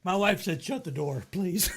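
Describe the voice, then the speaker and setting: A middle-aged American man with a notably high-pitched voice tells a casual story. He rambles through it with salty language, acting bits out in an animated, comic manner. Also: moderate pace, creaky, slight background noise. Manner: profane, animated, acts out his remarks. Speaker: storyteller, host.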